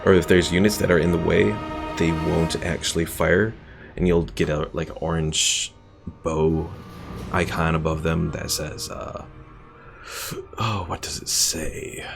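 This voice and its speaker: A man's voice: deep voice, fairly even intonation, takes it slowly, gravelly. A young man casually commentating a strategy game stream, thinking aloud and explaining the mechanics as he plays. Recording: game music in background.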